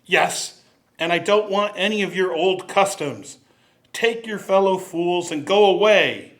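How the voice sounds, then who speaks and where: Guy doing a bitter old miser for a radio play, highish voice, scornful and scolding. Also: a little slow, fairly bright tone. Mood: angry, scolding. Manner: scolding, contemptuous. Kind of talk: 1940s-style radio drama acting.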